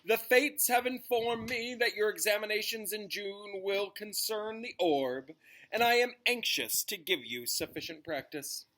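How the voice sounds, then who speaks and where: Casting: male reader as misty, dramatic female seer and teacher, raised pitch, stretched airy phrasing, storybook character. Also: a little slow, sharp tone, poor audio. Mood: dreamy, grand. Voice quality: misty, breathy delivery. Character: misty, dramatic woman fortune-telling teacher, theatrical and dreamy.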